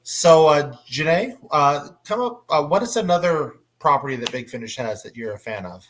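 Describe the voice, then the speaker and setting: A man's voice: on the high side, expressive, normal speed. A man moderating a casual fan panel discussion, chatty and prompting the others with questions.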